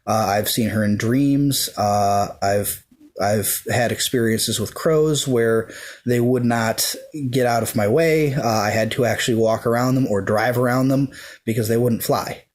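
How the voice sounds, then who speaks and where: This is a man talking about his faith without a script. He speaks slowly, filling gaps with ums and uhs, and starts sentences over. His voice is soft, at a middle pitch. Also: fairly even intonation. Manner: hesitant, many ums and uhs; slow and soft-spoken. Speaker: pagan devotee sharing his views. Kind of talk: unscripted talk to camera.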